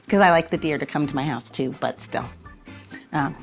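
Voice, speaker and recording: A woman's voice: deep voice, normal speed, creaky, over music. A woman co-hosting a podcast in casual chat, enthusiastic and playful. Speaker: podcast co-host.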